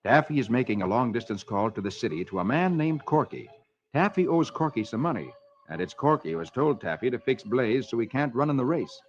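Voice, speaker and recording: A man's voice: mid-range voice, expressive, a little fast. An American man hosting and narrating comic-strip stories in 1940s children's radio style. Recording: muffled, noisy vintage radio recording.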